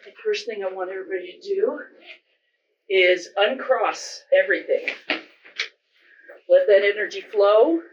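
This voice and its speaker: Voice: medium pitch, a little slow, long pauses, noisy background. A person leading a group ritual as seance leader, recorded dull and distant in a roomy space. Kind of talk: guided ritual, incantation.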